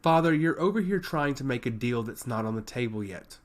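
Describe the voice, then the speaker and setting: A man's voice: mid-range voice, lively intonation, brisk, natural voice. A man voicing an earnest, insistent princess who pleads her case in a fantasy story. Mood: insistent pleading.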